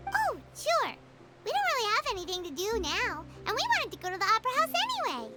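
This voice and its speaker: A woman voicing a small childlike fairy companion in a video game, squeaky, excitable and inquisitive. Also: fast talker, heavily acted. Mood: chirpy, curious. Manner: excitable, speaks of self in third person. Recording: loud game music behind. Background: game music.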